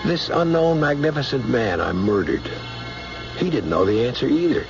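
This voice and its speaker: An earnest, conscience-stricken starship commander, dramatic and reflective, with a widely swinging pitch. Music sits behind him in a muffled old radio drama recording. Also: male voice, very animated, normal speed, creaky. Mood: troubled, searching.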